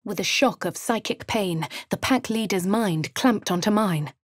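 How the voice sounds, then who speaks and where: A woman's voice: mid-range voice, a little slow, no room sound, clean studio sound, lightly acted. An actress with a posh English accent voicing a wry female fantasy adventurer in a video game, narrating and speaking in character. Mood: measured, wry.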